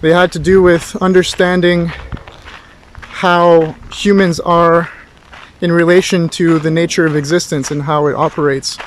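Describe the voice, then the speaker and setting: Male tutor, fairly high voice, informal lecturing, rambling and reflective, some background noise. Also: flat delivery, a little slow. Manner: rambling, thoughtful, with rhetorical questions. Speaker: philosophy tutor.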